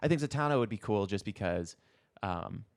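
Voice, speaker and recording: A man's voice: fairly low pitch, animated, normal speed. A man co-hosting a podcast, chatting casually about superhero films in an agreeable, tentative way. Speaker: podcast co-host.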